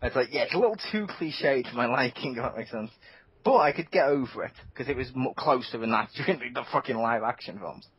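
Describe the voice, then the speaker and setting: A man with a very husky, breathy voice chats loosely about games on a podcast, his pitch bouncing around a lot as he laughs and restarts his sentences. The sound is dry and a little muffled, like a basic home setup. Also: no echo. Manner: animated, laughs often, quick restarts. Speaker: podcast co-host. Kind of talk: casual gaming chat.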